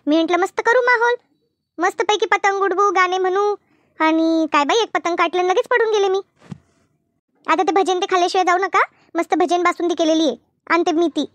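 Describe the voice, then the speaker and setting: Woman acting very high-pitched, chatty village housewife. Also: fairly quick, fairly bright tone, no echo. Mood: bustling, insistent. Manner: gossipy, emphatic, colloquial. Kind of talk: animated comedy cartoon dialogue.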